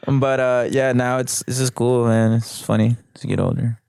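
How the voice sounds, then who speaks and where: Young comic guest, rambling casual storyteller, dry close sound. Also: male voice, medium pitch, little pitch movement, moderate pace, gravelly, darkish tone, smooth, no echo. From United States, of Salvadoran family. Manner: rambling, swears freely, laughs at his own stories. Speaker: podcast guest, comedian and chef. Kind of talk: casual podcast chat.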